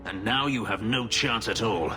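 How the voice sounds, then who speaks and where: Brief: embittered elf fighter, deep and husky, grave delivery, fantasy game drama with faint score. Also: male voice, sharp tone, soft background music. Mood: angry, defiant. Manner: grave, measured, intense. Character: brooding embittered elf warrior, escaped slave.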